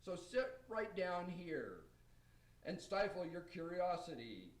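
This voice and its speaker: A man's voice: sits high, big pitch swings, slow, full character voice. A man playing a jolly, hospitable old Santa Claus with a hearty, rhyming delivery in amateur radio theater. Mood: jolly, generous. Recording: distant, roomy live radio stage recording.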